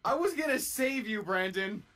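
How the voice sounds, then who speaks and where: Male gamer, slightly high voice, teasing casual banter with game music behind. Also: expressive, moderate pace, pushed and bright. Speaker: player in an online gaming group. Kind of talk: casual gameplay banter with friends.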